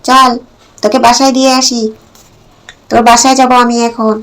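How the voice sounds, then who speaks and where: A woman's voice: on the high side, flat delivery, moderate pace, a bit bright. A woman voicing a bossy, grumpy female ghost in a cartoon story, scolding and exasperated. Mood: brisk, bossy.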